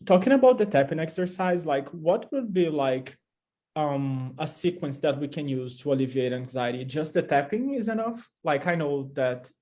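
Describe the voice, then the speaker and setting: Man, Portuguese native speaker, co-host, hesitant, warm mellow tone. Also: on the high side, lively intonation, normal speed. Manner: hesitant questioning with fillers. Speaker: podcast co-host asking questions.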